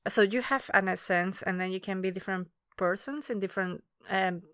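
Spanish-accented woman, smooth and thoughtful. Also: mid-range voice, fairly quick, a bit bright, dry recording, studio quality. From Spain. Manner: thoughtful, searching for words. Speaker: guest interviewer. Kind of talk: reflective conversational interview.

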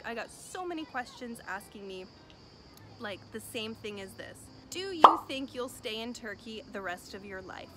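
A woman's voice: fairly high pitch, noisy background. A thirty-year-old American woman chatting casually to camera as she answers viewer questions, informal and rambling with emphatic repetition. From United States. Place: outdoor rooftop balcony above a city.

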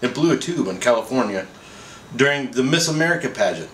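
A man's voice: medium pitch, expressive, fairly quick, a bit bright, close to an everyday voice. A man voicing a dry, stubborn husband in a comic story, wry and matter-of-fact. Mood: snappy. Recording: roomy echo, faint background noise.

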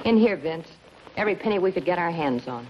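An actress playing a hard, sardonic gangster's moll with terse talk in a 1950s television crime drama. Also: female voice, on the low side, animated, fast, natural voice. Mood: eager. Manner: tough, terse. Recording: muffled, hissy 1950s TV soundtrack.

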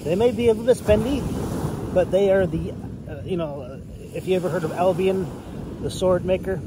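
Man, thirties, slightly high voice, halting casual reviewer, recorded outdoors with clear wind and water noise. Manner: hesitant, halting, rambling. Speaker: writer and hobbyist reviewer. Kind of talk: casual product show-and-tell. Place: outdoors on a beach shore.

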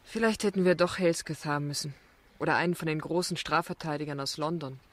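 Casting: young female barrister, lowish voice, shrewd, persistent, probing radio-drama delivery. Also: fast. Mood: intimate, thoughtful. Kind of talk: German radio drama acting.

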